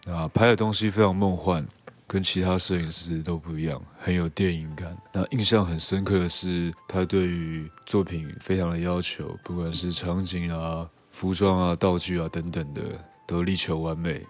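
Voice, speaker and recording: A man's voice: low voice, little pitch movement, normal speed, soft background music. A man giving a short prepared message of introduction and praise. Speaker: model leaving a recorded message.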